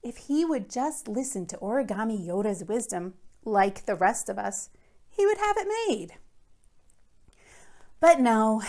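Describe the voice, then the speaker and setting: An earnest middle-school boy voiced by a woman tells his own storybook case. He is fretful and emphatic, leaning hard on key words and dropping into confiding asides. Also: on the high side. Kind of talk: first-person children's book read aloud.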